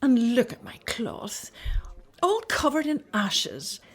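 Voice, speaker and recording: Marta, casalinga esasperata, voce acuta. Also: female voice, fairly high pitch, sing-song, slow pace. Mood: exasperated. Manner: exasperated, complaining, flustered. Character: fussy, overworked, resentful housewife of Bible times. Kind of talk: radio play acting.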